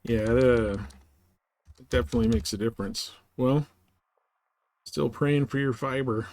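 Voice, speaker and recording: A man's voice: mid-range voice, moderate pace, darkish tone. A man chatting casually as he streams his play of a video game. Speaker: live streamer playing a space trading game. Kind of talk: casual gameplay chat.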